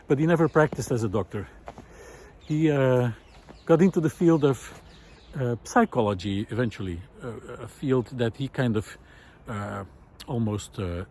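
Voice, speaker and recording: A man giving an informal, reflective solo talk in a thoughtful, halting way, pausing deliberately for emphasis. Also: mid-range voice, darkish tone. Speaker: host of a personal audio series.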